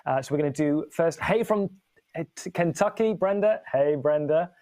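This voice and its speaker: A man's voice: a little high, moderate pace, warm tone. A man from Spain hosting a livestream in a casual, chatty, informal way. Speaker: livestream host, filmmaker.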